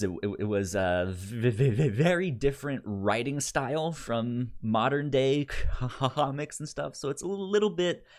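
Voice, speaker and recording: A man co-hosting a casual podcast chat, talking informally and haltingly, with a stutter that repeats sounds and syllables. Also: medium pitch. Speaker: podcast co-host.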